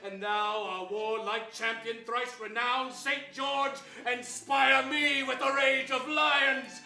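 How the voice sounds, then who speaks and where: Echoey distant theatre recording, scornful warrior king, grand Shakespearean declamation, very high pitch. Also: little pitch movement, slow, sharp tone, soft background music, exaggerated voice. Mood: fierce, defiant. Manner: grand, booming, declamatory delivery. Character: villainous warrior king, scornful, fierce and defiant. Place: large theatre stage, indoors. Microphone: far camera. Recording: echoey theatre stage, distant mic, faint noise.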